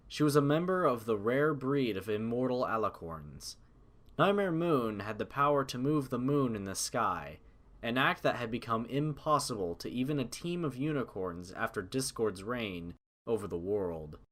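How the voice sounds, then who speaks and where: A man reading scripted story narration in a measured, dramatic manner. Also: medium pitch.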